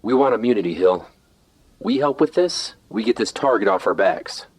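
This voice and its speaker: An actor playing a defiant, sarcastic armored superhero who talks bluntly in an audio drama. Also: male voice, lowish voice, sing-song, moderate pace, slightly rough, no room sound, full character voice. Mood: challenging. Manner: blunt, sardonic.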